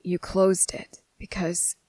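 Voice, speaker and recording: A woman voicing a guarded, fed-up young boy in a hushed, halting ASMR roleplay monologue. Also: lowish voice, monotone, dry recording. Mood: quiet, reflective. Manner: hushed, halting, pauses mid-thought.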